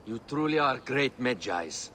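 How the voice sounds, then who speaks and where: An actor playing an earnest, devoted adviser and conspirator in a video game drama, with formal, declamatory speech. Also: male voice, mid-range voice, lively intonation, unhurried, bright, forceful tone, slight background noise. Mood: earnest, assured.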